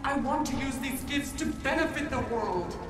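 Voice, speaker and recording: A cruel cosmic goddess in a girl's body, slow and grand, kinda high, echoey with loud music. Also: expressive. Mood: defiant. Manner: imperious, theatrical, slow deliberate pronouncements. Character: young woman possessed by a godlike cosmic force, grandiose and cruel. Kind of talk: motion comic voice acting.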